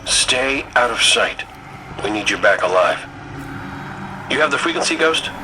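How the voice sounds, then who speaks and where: An actor playing a roguish android scout commander in a video game, breezy and sardonic as he hands out brisk orders. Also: medium pitch, animated, background noise, natural voice. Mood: wry, protective. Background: loud music.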